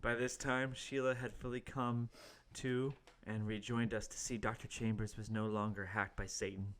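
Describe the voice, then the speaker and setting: A man's voice: lowish voice, little pitch movement, normal speed, fairly bright tone, lightly acted. A man playing a young intern hero who narrates his adventure mock-heroically in an amateur comedic radio play. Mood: mock-heroic narrating.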